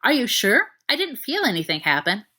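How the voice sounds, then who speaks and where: A woman's voice: a little high, huge pitch swings, brisk, bright, forceful tone, breathy edge, voice pushed up, exaggerated voice. A woman voicing a nervous, suspicious boy, talking hesitantly. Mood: uneasy. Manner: hesitant, wary.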